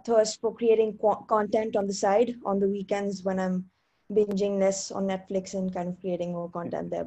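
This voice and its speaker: Young woman, panel guest, flat delivery, mellow dark tone, video call. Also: medium pitch, rough recording. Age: young adult. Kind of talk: self-introduction on a video call.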